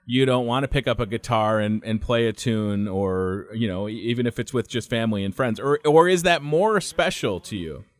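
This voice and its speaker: A man's voice: medium pitch, dry recording. Eager, nervous man hosting a radio show. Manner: eager, a bit nervous, fan-like. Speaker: radio host. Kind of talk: radio interview.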